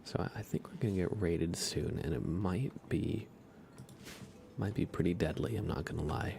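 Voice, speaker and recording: Male ASMR gaming streamer: very low, fry-heavy voice, soft and unhurried, musing commentary. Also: faint music behind. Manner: hushed, unhurried, musing aloud. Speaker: live streamer.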